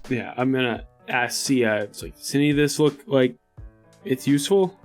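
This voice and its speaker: Casual male gamer over soft music. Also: mid-range voice, moderate pace. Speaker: tabletop game player. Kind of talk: casual in-character roleplay and table talk. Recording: music behind. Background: background music.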